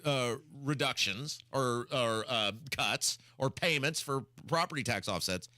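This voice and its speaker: A man's voice: mid-range voice, a little slow, bright, forceful tone. A man hosting a talk radio show, giving argumentative political commentary with rhetorical questions.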